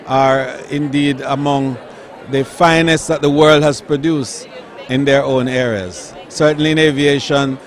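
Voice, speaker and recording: A man, a tourism official speaking emphatically at an outdoor event. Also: medium pitch. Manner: emphatic, listing achievements with rising stress. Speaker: tourism official giving a speech. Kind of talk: formal public speech to the press.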